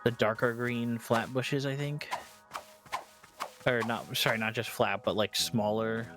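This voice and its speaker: A man's voice: medium pitch, little pitch movement, unhurried. A man chatting casually as a guest on a game stream, a bit hesitant, with trailing sentences and small interjections. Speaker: guest streamer helping along. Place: remote voice call. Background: game music.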